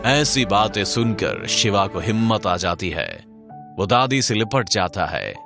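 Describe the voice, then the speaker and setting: A man's voice: fairly low pitch, very animated, unhurried, creaky. A man narrating a scripted story in a dramatic, suspenseful storytelling manner. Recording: loud music bed under narration.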